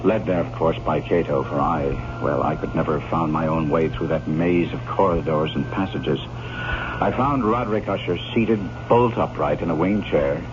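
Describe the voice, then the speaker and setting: Deep-voiced troubled gentleman, noisy old radio. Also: moderate pace. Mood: somber recounting. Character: earnest, troubled gentleman narrator, stubbornly devoted. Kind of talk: old-time radio drama acting and narration. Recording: dull, noisy vintage radio recording.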